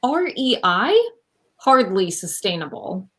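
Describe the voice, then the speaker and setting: A woman's voice: medium pitch, very animated, slow, a bit bright, husky, heavily acted. A young woman playing an earnest, easily exasperated environmental superhero in a comedy radio play over a video call. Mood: indignant. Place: room at home, video call.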